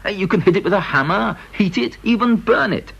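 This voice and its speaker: Male junior scientist, British radio drama: deferential, flustered, very lively intonation, husky tone. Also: high-pitched, brisk, highs cut off, full character voice. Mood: earnest, keen. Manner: apologetic, earnest, hesitant with superior. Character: eager, deferential junior researcher, flustered but keen.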